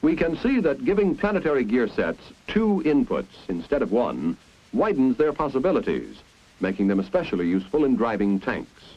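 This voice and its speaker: A man's voice: medium pitch, very animated, normal speed, slightly rough. An American man narrating a 1950s instructional film in a didactic, explanatory way. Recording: dull, muffled 1950s film soundtrack.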